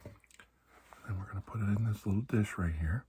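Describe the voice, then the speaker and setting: A man doing soft-spoken ASMR roleplay as a calm doctor, speaking gently and reassuringly, often dropping into a whisper. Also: on the low side, fairly quick, background noise. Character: calm, reassuring male doctor examining a patient. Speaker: ASMR performer playing a doctor.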